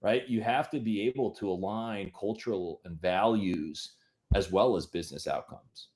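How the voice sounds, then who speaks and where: A man's voice: fairly low pitch, normal speed, a little mellow. A man speaking as a panel guest, giving casual business advice in short, emphatic phrases.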